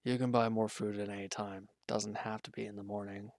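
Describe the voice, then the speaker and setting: A man playing an injured, weary villain in a roleplay audio drama, guarded and quietly considerate, speaking in halting, hesitant lines. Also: little pitch movement, gravelly, no room sound, clean studio sound. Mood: weary, reluctant. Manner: hesitant, halting, low-key, grudging. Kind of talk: roleplay audio drama, intimate one-sided dialogue.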